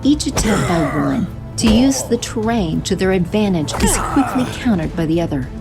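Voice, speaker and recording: A woman narrating a superhero action audio drama in a steady, descriptive storytelling manner. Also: medium pitch, normal speed, over music.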